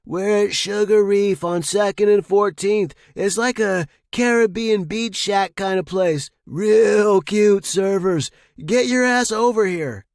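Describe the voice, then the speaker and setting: A man performs a brash young buddy for an audio story. He is high-pitched and loud, stretching slangy words as he teases and pushes his friend to join in. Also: dry recording, clean studio sound. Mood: impatient, excited. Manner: shouting over crowds, slangy, drawn-out words. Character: brash young male party buddy, loud, teasing, egging on. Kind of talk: audio fiction character acting.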